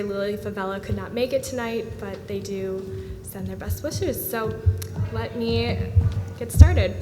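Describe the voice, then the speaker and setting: A young woman in her late teens, the student association president, giving an earnest, steady report to a school board with frequent "um" pauses. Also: fairly high pitch. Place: school board meeting room. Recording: reverberant room with steady background noise.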